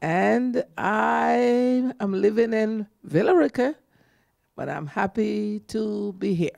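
A woman's voice: medium pitch, slow pace, creaky, darkish tone, no room sound. A woman in her seventies with a Jamaican accent, introducing herself informally. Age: 70s. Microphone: handheld microphone.

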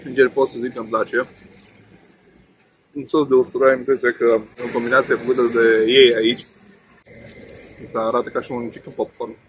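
A man's voice: mid-range voice, pauses often, low-quality recording. A man in his thirties casually reviewing food outdoors, chatting with frequent fillers while he eats. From Romania. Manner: relaxed, chatty, many fillers while eating. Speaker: food vlogger. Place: outdoor table beside a main road. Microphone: camera on table in front. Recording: faint road noise outdoors. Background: passing traffic and outdoor ambience.

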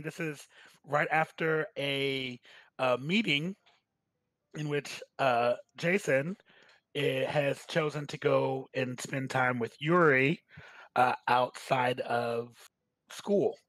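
A man hosting a staged reading, talking casually and haltingly. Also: mid-range voice, a bit bright, dry recording. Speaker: host of a staged game reading. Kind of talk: casual commentary and introductions.

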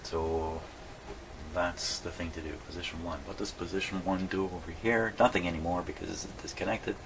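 A man's voice: sits low, fairly even intonation, moderate pace. A man giving a casual hands-on demonstration, thinking aloud and muttering to himself as he works. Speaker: host of a radio repair channel. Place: small indoor radio workshop. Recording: distant mic, clear background noise.

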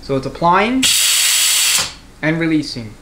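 A man's voice: a little high, sing-song, unhurried. A man giving a hands-on mechanic's tutorial in a matter-of-fact, conversational way. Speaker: transmission mechanic, instructor. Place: indoor garage workshop. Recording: echoey garage with clear background noise.

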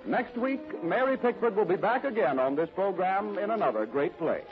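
A male announcer from 1930s radio, with a very lively, swooping delivery and a slightly high voice. The sound is a dull old broadcast recording. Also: normal speed, over music.

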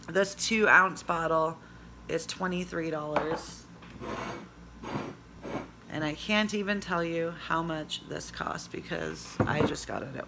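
A hoarse woman in her forties chatting casually in a home vlog, reading product labels aloud and rambling with fillers. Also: low-pitched, heavy background noise. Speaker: vlogger. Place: small indoor room at home. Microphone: phone camera at arm's length.

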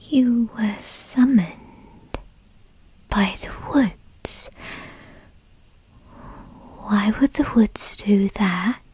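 A woman playing a young, bratty but timid female fox spirit in an ASMR roleplay, breathy and stammering. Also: medium pitch, darkish tone, heavily acted. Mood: startled, suspicious. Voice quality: breathy, often whispery. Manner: halting, stammering, skittish. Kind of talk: ASMR roleplay, scripted character acting.

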